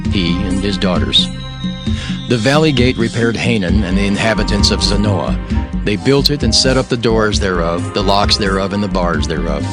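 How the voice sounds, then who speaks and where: A man narrating scripture for an audio drama in a solemn, formal and measured way. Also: lowish voice. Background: music.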